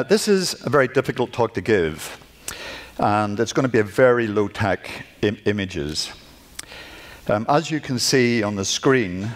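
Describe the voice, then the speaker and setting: A man's voice: on the low side, a little hiss or hum. Nervous Northern Irish man lecturing. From Northern Ireland. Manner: nervous, halting, with restarts and self-deprecating asides. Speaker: guest lecturer. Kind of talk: personal lecture read from notes. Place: lecture hall at a conference.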